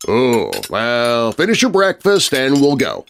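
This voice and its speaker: A man's voice: medium pitch, big pitch swings, slow, a bit bright, slight background noise, full character voice. A man playing an easygoing but protective father in a children's scary story.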